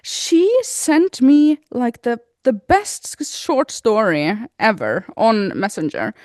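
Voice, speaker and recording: A woman co-hosting a podcast in casual chat, laughing often as she talks, her pitch jumping up suddenly. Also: very animated, slow pace, darkish tone, no echo, studio quality. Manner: giggly, laughs often while talking. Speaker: podcast co-host.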